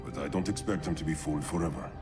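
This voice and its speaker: An actor playing a stern, regal ruler in a video game, speaking formally and with command. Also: male voice, extremely low pitch, fairly even intonation, racing. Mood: grave. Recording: roomy, slightly distant, under loud music.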